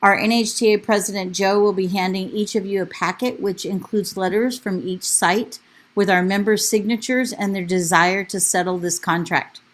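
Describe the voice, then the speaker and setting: Woman teacher speaking for her union at a board meeting, earnest and steady, mid pitch voice. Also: normal speed. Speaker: teacher and union vice president. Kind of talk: prepared public comment. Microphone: remote call audio.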